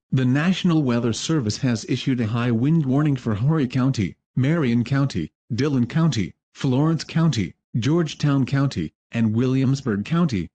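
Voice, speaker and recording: A man's voice: medium pitch, warm tone. A man with a synthetic-sounding voice reads a scripted weather bulletin as a warning announcer.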